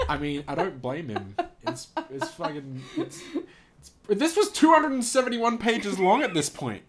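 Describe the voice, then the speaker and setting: A man's voice: sits high, huge pitch swings, a little slow. A man casually reading aloud and commenting as host, amused and giggly, swearing now and then.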